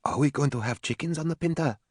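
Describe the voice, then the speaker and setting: A soft, wistful woman who muses quietly, as a male narrator puts her on. The voice floats up above his usual deep register and drops to a hush. The sound is close and dry. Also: quick pace, husky, no echo. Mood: curious. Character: quiet, thoughtful woman, a teacher.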